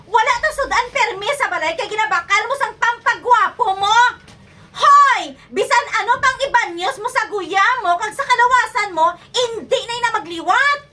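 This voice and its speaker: Woman doing a super high bright ilonggo wife nagging her vain husband, comedy skit, mic kinda far. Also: expressive, normal speed, heavily acted. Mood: scolding. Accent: Ilonggo Filipino. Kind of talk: comic skit acting. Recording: distant microphone.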